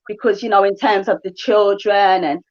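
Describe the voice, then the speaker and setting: A woman's voice: mid-range voice, fairly even intonation, moderate pace, a little mellow, lo-fi sound. A woman, a livestream guest, talks casually over a remote call, with lots of fillers and asides. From England. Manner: chatty, frequent fillers and asides.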